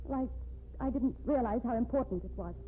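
A woman's voice: on the high side, brisk, lightly acted. An actress playing an earnest, insistent woman defending the man she loves in a 1950s radio drama. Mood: anxious certainty. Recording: tinny, noisy 1950s radio recording.

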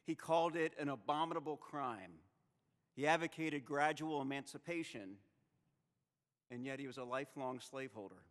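A man in his thirties preaching a sermon at a chapel lectern microphone, measured and rhetorical with dramatic pauses. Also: medium pitch. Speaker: seminary president preaching in chapel. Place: chapel with stone wall, at a wooden lectern. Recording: long reverb of a chapel hall.

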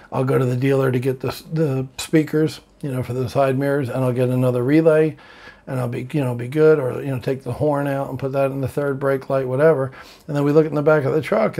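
Older guy rambling into his laptop cam at home, husky, kinda flat, laughs now and then. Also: mid-range voice. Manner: rambling, chatty, laughs at his own story, swears casually. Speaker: vlogger. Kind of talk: casual monologue to camera. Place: bedroom, indoors.

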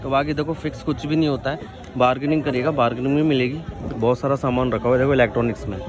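A man's voice: mid-range voice, steady tone, racing, muffled recording. A young man vlogging as he walks through a street market, chatting as he points out goods and their prices. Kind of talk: walking market tour vlog. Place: busy outdoor street market. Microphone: handheld phone camera. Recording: busy crowd behind. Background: crowd chatter.

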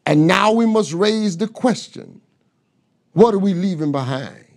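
Middle-aged male pastor, high-pitched, slow deliberate sermon delivery, close lapel sound. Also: animated, a little mellow. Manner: emphatic preaching with rhetorical questions and repetition. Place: pastor's office with bookshelves, seated at desk.

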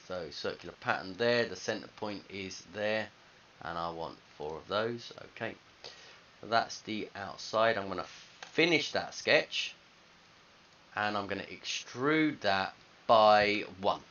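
A man's voice: fairly low pitch, lively intonation, a little slow, bright, forceful tone. A man in his thirties talking casually through a step-by-step screencast tutorial as a teacher, thinking aloud into a headset microphone. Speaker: design teacher. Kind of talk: screencast software tutorial, informal step-by-step narration. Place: indoors at a computer. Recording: dull lo-fi headset audio, faint hiss.

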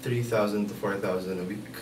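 A young man answering with hesitant, halting replies. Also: fairly low pitch, steady tone, noisy background. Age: young adult. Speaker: interviewee. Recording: echoey room, distant mic.

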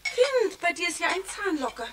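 A woman's voice: very high-pitched, sing-song, moderate pace, a bit bright, exaggerated voice. A woman playing a haughty, easily offended society wife in a 1960s German radio play, reproachful and imperious. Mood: insistent. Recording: distant, slightly noisy old vinyl record.

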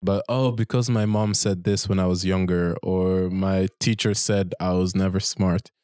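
A man's voice: low-pitched, steady tone, moderate pace, darkish tone, smooth, no room sound, clean studio sound. A man in his twenties hosting a casual conversation, informally reflecting and tagging his points with "right?", speaking into a handheld microphone. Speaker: talk show host. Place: small barbershop, indoors.

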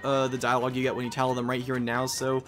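A man's voice: mid-range voice, monotone, very fast. A man casually commenting on a video game as he plays, rambling with jokey asides. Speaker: let's play host. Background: game music.

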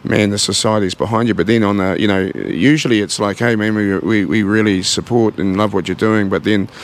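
A man in his forties with a New Zealand accent, talking earnestly and haltingly about his gym in a casual interview, recorded on a handheld mic in a large indoor gym. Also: on the low side, fairly even intonation. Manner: earnest, halting, many fillers and restarts. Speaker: gym owner.

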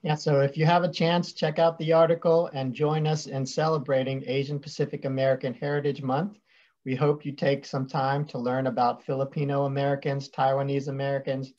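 A man's voice: medium pitch, a little mellow. Friendly man on dull webcam audio. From West Virginia. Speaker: attorney. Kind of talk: video call conversation. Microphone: video call webcam.

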